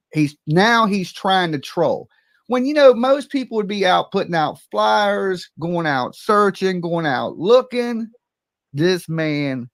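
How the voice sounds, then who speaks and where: A man's voice: sits high, no room sound, studio quality. A man hosting a live call-in stream with blunt, confrontational, colloquial talk. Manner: blunt, colloquial, streetwise phrasing. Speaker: live-stream host taking calls.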